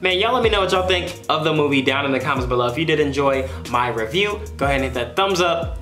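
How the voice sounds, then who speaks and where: An American man in his twenties giving an excitable, slangy, casual movie review to camera as a YouTuber. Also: a little high, quick pace, reverberant, faint music behind. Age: 20s. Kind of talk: animated casual vlog review. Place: small home room, indoors.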